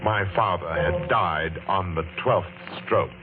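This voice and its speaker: An actor playing a brooding, vengeful middle-aged clock repairman in old radio mystery style, speaking in a deliberate, dramatic way. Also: deep voice. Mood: grim, haunted. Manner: deliberate, dramatic, halting when speaking to others. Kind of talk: 1940s radio mystery acting, first-person narration. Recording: dull vintage radio recording with music.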